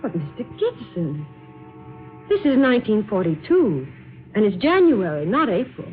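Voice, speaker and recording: A woman's voice: medium pitch, very animated, normal speed, phone-quality sound, soft background music, lightly acted. An actress playing a polite, earnest young woman applying for an office job, in a 1940s radio drama style. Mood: puzzled, insistent. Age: young adult. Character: polite, earnest 22-year-old female office job applicant. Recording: thin, noisy old radio recording.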